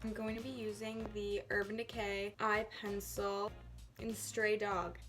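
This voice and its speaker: A woman's voice: medium pitch, flat delivery, a little slow, a bit bright, faint music behind. A woman talking casually through a makeup tutorial at home, chatty and rambling and laughing at herself. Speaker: beauty vlogger.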